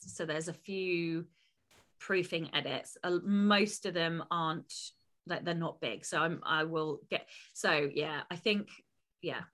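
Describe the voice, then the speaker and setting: A young adult woman co-hosting a casual podcast, animated and rambling, laughing often. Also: lowish voice. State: voice lower than usual. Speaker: podcast co-host. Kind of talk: casual chat.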